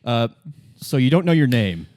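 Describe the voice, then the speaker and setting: A man playing a calm, polite police lieutenant in a tabletop game, speaking haltingly and reassuringly. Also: medium pitch, dry recording, clean studio sound, lightly acted. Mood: steady, reassuring. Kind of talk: improvised tabletop roleplay.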